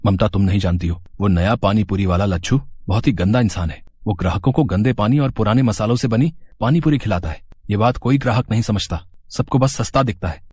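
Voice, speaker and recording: A man's voice: on the low side, a little fast, a little mellow, breathy edge, no room sound. A man playing an honest, aggrieved poor street food vendor with a plaintive, protesting manner in a story. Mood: worried complaint.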